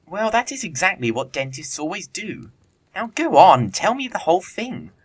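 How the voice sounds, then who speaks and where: A man's voice: sits high, very animated, moderate pace, slightly rough, heavily acted. A man playing a flippant, witty young upper-class bachelor in a period comedy audio play, with an arch, theatrical delivery. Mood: teasing, insistent.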